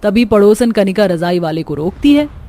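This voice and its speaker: A woman's voice: medium pitch, animated, normal speed, dark tone. A woman giving scripted narration as the storyteller of a family tale.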